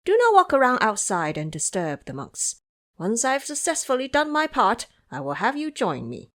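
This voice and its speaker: A woman's voice: a little high, wildly swooping pitch, moderate pace. A woman voicing a bold, mischievous male monkey hero in an audio drama, confident and declamatory. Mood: commanding. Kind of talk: audio drama character reading.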